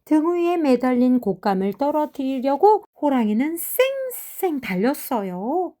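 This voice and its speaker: A woman narrating children's fairy tales in an expressive, measured storybook manner. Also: high-pitched. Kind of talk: animated fairy tale narration.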